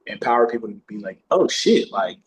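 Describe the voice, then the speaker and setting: A man's voice: lowish voice, very animated, fairly quick, slight background noise, rough recording. A man talking casually as an actor being interviewed, with slangy, sweary, bro-heavy phrasing. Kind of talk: casual chat.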